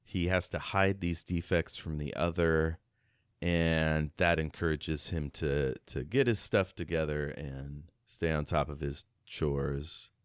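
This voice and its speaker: A man's voice: extremely low pitch, fairly even intonation, slow, clear, resonant tone, no echo, clean studio sound. A man co-hosting a podcast, talking through a book in a casual, reflective, conversational way. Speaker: podcast co-host.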